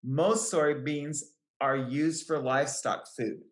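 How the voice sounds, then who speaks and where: A woman reading a picture book aloud to young children, with careful, deliberate phrasing. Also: sits low, steady tone. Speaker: children's book reader, extension educator. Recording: roomy, echoing sound.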